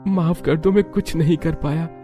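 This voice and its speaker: A man's voice: on the high side, steady tone, moderate pace, mellow, faint music behind, pitched above the performer's own voice, natural voice. A man voicing a poor, helpless young husband and father in a cartoon story, anguished and apologetic. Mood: apologetic, moved.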